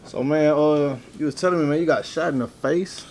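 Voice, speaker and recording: A man hosting a casual interview, asking questions in a relaxed, slangy way and often ending lines with "man". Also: a little high, a little fast, slight background noise. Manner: relaxed, slangy, repeats "man" and "you know what I'm saying".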